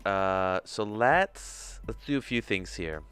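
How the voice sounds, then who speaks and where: A man's voice: sits low, lively intonation, takes it slowly, fairly bright tone, clear, resonant tone. A man hosting a casual tutorial livestream, talking himself through each step informally as he works. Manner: informal, self-talking, murmuring through steps. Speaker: livestream host, animator. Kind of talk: casual screen-share tutorial livestream, thinking aloud. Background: music under the voice.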